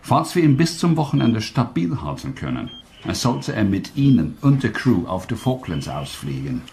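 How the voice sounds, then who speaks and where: An actor playing a dry, melancholy British commander in a German radio drama. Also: male voice, on the low side, sing-song, normal speed, creaky, a little mellow, slight background noise. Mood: dry, composed.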